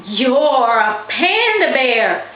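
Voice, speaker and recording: A curious, slightly timid young girl in a children's storybook, played by a woman. She is easily amazed and her pitch swings widely. The sound is roomy and slightly dull. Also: sing-song, drawn-out words, full character voice. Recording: roomy, slightly dull, faintly noisy recording.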